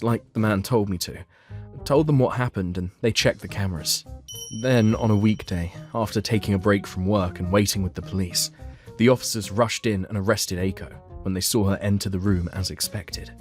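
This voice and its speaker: A man's voice: lowish voice, brisk, darkish tone. A man reading scripted first-person story narration for a manga dub, as the narrator and lead character. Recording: music behind the voice.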